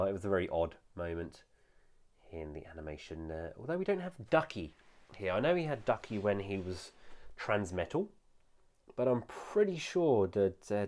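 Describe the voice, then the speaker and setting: A man with a low, deep voice that sounds nasal and stuffed up from a lingering cold. He reviews a collectible figure in a chatty, enthusiastic way, often pausing with "uh". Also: a little mellow. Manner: enthusiastic, chatty, with frequent "uh" fillers. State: recovering from a cold. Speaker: toy reviewer. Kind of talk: casual product review.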